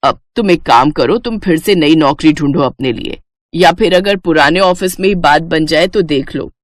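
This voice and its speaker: A woman's voice: low-pitched, moderate pace, no echo, studio quality, natural voice. A woman voicing a proud older mother-in-law who speaks in a hesitant, coaxing way in a moral story. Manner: hesitant, coaxing, repeating herself.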